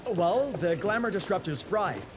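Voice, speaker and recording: A woman's voice: on the low side, big pitch swings, a little slow. An actress playing a confident, spirited engineer in a video game, with noisy ambience behind her. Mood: spirited, technical. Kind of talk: dramatic game dialogue.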